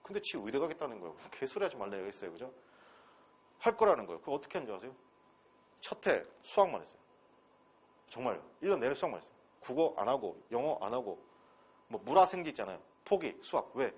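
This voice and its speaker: A man with a Gyeongsang dialect lectures an English class in a husky, slightly breathy voice. His pitch swings up and down freely as he fires rhetorical questions at the students. He keeps it blunt and casual, with some crude slang. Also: sing-song, fast. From Pohang, South Korea. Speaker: English instructor at a transfer-exam academy.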